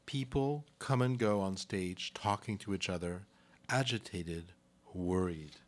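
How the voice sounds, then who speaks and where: A man's voice: low-pitched, a little slow, background noise. A man narrating scripted stage directions for a live radio play.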